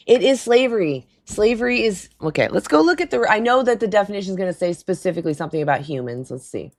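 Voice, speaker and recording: A woman hosting a casual livestream call-in with a raspy, hoarse voice. She chats loosely, laughs often and talks back to her chat. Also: medium pitch, lively intonation, fairly quick. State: hoarse throat.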